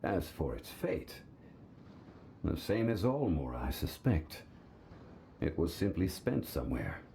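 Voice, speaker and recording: A very deep, mellow male voice a composed, learned gentleman who reasons politely and evenly. His delivery still moves expressively through its range, with faint music underneath. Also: sing-song, measured pace, vocal fry, a little hiss or hum, full character voice. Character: calm, learned man, composed consultant. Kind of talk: video game voice acting.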